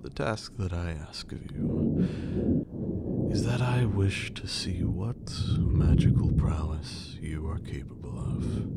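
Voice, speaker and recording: Vampire lord, played by a man: deep, half-whispered, haughty, amused menace, ASMR roleplay. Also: full character voice. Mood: menacing, daring. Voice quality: breathy, creaky low voice. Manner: slow, condescending, theatrical, archaic wording.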